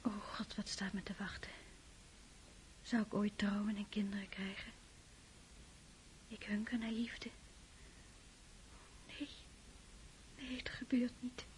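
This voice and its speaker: A despairing young woman played by a woman prays alone in a radio play. Her voice is hushed, breathy and slow, with faint hiss behind it. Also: medium pitch, flat delivery, lightly acted. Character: lonely, plain young woman praying in despair. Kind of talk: radio drama soliloquy. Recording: hissy old radio recording.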